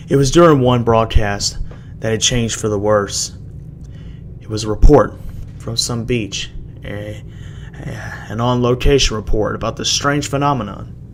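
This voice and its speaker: A man with a gravelly voice giving a halting, dramatic first-person reading of a horror story as an amateur narrator. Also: medium pitch. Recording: noisy amateur home recording.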